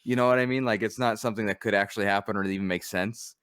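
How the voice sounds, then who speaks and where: A man's voice: on the low side, steady tone, rapid-fire, fairly bright tone, smooth, no echo, studio quality. A man co-hosting a podcast, rambling loosely and laughing as he talks. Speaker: podcast co-host. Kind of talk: casual chat.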